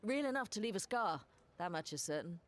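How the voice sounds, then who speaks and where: An actress playing a blunt, resentful adventurer with dry wit in a video game cutscene. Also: female voice, medium pitch, lively intonation, fairly quick, natural voice.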